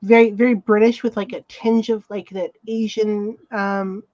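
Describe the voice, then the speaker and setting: A woman chatting casually on a podcast, telling things haltingly with pauses mid-sentence. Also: mid-range voice, flat delivery, a little mellow, lo-fi sound. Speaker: talk show panelist.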